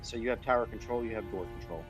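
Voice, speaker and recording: A man's voice: lowish voice, very fast. A man acting as a flight deck trainer, giving military-style procedural instructions in online roleplay. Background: music.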